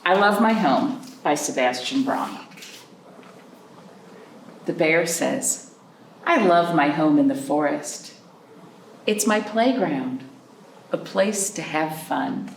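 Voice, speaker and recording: A woman with a lowish, gravelly-edged voice reads and chats to young children as a storytime host. Her delivery swings up and down in an animated way, with simple questions put to the listeners. It is recorded in a roomy space from a distant mic. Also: slow pace, long pauses, a little hiss or hum. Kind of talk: picture-book reading and chat for young children.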